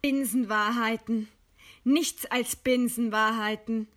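A woman playing a proud, resigned idealist facing execution in a literary radio play, with a solemn, measured, declamatory delivery. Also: fairly high pitch, steady tone, fairly bright tone. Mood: weary, curt.

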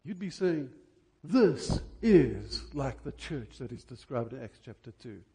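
A man preaching a church sermon in an emphatic, dramatic way, repeating phrases deliberately for effect. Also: medium pitch, big pitch swings, mellow.

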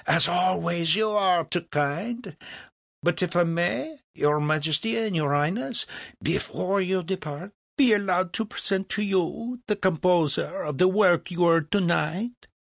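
A man playing an anxious, obsequious courtier with a raised, pleading pitch, stammering and groveling before royalty. His words come slow and drawn out. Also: vocal fry, dry recording, clean studio sound, heavily acted. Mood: fawning. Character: fawning, nervous male court official.

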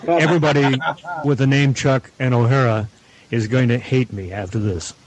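Casting: easygoing male guest, medium pitch, hesitant casual talk. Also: normal speed, heavy background noise. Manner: laid-back, hesitant. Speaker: audio producer, panel guest. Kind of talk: casual chat.

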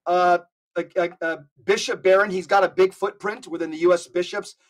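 A man's voice: sits high, steady tone, brisk. A man speaking as a podcast guest, telling stories and urging listeners in an emphatic, preacherly way, often repeating his words. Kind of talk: animated storytelling and exhortation.

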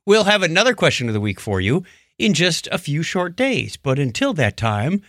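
A man's voice: fairly high pitch, sing-song, normal speed, a bit bright, no echo, clean studio sound. A man co-hosting a podcast in a casual, chatty style. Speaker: podcast co-host.

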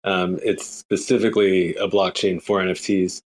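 Man, lower pitch, casual, conversational, explanatory. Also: little pitch movement, moderate pace. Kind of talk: casual explanation in a group chat.

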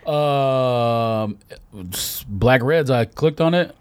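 A man's voice: medium pitch, takes it slowly, smooth, no room sound. An American man co-hosting a casual chat, blunt and sarcastic in a dry, offhand way. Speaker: podcast co-host.

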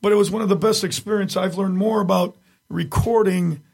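A middle-aged man with a raspy, husky voice that sits high for a man. He rambles through his stories in loose, casual interview chat, circling back with restarts. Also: fairly even intonation, brisk, a little mellow. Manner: rambling storyteller, many restarts, frequent chuckles. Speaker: guest, tribute singer.

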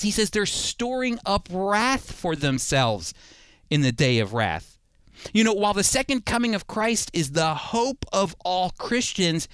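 Male radio preacher, slightly higher register, conversational but earnest delivery, stresses key phrases, very dry close-mic sound. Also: a bit bright, smooth, no room sound. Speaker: radio Bible teacher and program host. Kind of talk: conversational radio sermon with scripture reading. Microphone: close studio radio mic.

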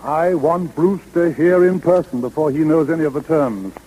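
A male actor with an American accent playing a brisk, businesslike senior lawyer in a 1930s radio drama. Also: on the high side, mellow, a little hiss or hum, lightly acted, rough recording. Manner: businesslike, authoritative. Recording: vintage 1930s radio broadcast.

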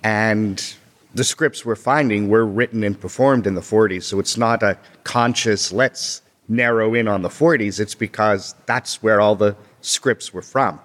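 Man with a lowish voice explaining calmly, lots of reverb tail. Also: a little slow. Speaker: longtime theatre company member. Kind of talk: casual interview answers. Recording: long reverb.

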